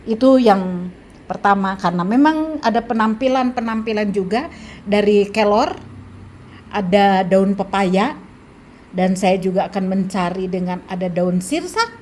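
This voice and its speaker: A woman in her seventies with an Indonesian accent, talking casually about home remedies, pausing often with fillers and restarts. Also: medium pitch, darkish tone, slight background noise. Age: 70s. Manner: halting, with frequent fillers and restarts. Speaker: health vlogger. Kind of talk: casual advice talk.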